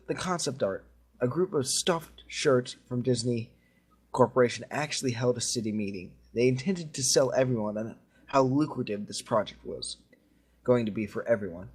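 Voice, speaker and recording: A man's voice: medium pitch, darkish tone, slightly rough. A man reading a creepy story aloud to friends in an informal way. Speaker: story reader.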